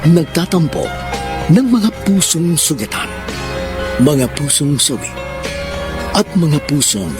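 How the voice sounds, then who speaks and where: A man hosting a radio drama with a scripted introduction in dramatic, deliberate phrasing. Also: a little high.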